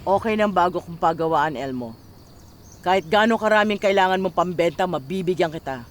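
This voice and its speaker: A woman's voice: fairly low pitch, expressive, brisk, soft background music, close to an everyday voice. A woman playing a greedy, domineering middle-aged mother and boss in a radio drama, scolding and imperious. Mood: cold, demanding. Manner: sharp-tongued, scolding, imperious.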